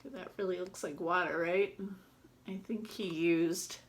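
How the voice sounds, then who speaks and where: A woman's voice: on the low side, steady tone, a little slow, slight background noise. A woman teacher doing a read-aloud, chatting casually and explaining things simply to children. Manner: explains simply to young listeners. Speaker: teacher reading a picture book aloud.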